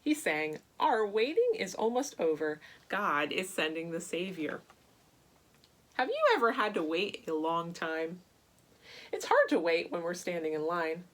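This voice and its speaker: A woman speaking to young children as a church storyteller, reading aloud and chatting in an animated way with simple questions. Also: lowish voice, measured pace. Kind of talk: read-aloud children's Bible story and chat to kids.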